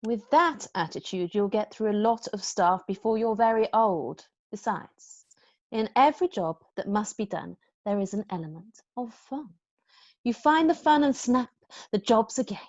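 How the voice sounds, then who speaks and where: A woman playing a brisk, unflappable, firm yet playful nanny, crisp and commanding, in a musical play read-through. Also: mid-range voice, animated, a little mellow, highs cut off. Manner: crisp, commanding, matter-of-fact.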